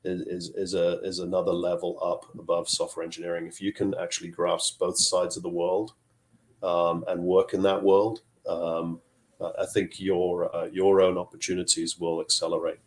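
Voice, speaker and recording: A middle-aged man from Zambia joins an interview from a home office over a video call. His voice sits low, and he talks in thoughtful stretches, restarting phrases as he works out his point. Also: little pitch movement, normal speed. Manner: thoughtful, repeats words while searching for phrasing. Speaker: guest, tech executive.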